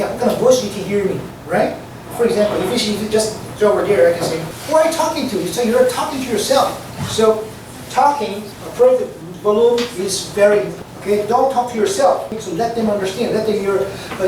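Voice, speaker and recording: An aviation instructor with a mother tongue, lecturing a class in loose, repetitive full of "okay" and "you know". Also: mid-range voice. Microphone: distant room mic. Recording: echoey classroom from far off, noisy.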